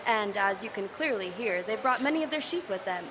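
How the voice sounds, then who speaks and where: An actress playing a persistent field news reporter in a live audio drama, speaking in a brisk broadcast style. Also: female voice, mid-range voice, lively intonation, crowd noise, noisy background, close to an everyday voice.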